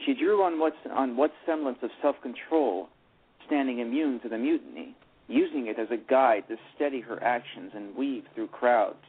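A man's voice: medium pitch, moderate pace, husky. A man narrating a story, reading aloud and often stumbling over and repeating words. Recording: tinny phone line with faint music.

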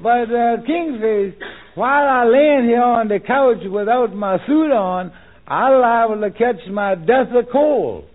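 Very high, slow, meek drawl. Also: male voice. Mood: gullible, hesitant. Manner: drawling, hesitant, deferential. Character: slow, meek, gullible, deferential male hanger-on. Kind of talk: 1940s radio comedy acting. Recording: narrow, tinny vintage radio recording.

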